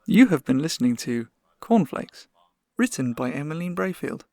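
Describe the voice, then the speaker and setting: A man's voice: fairly high pitch, big pitch swings, normal speed, gravelly, a crowd in the background. A man reading scripted closing credits as an announcer. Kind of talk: scripted credits over theme music. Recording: voice over theme music.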